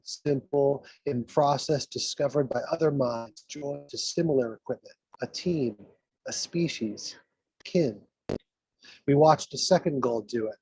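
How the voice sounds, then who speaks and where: A man's voice: medium pitch, a little mellow, husky, poor audio. A man reading his poetry aloud at an event, with informal asides, as a guest poet.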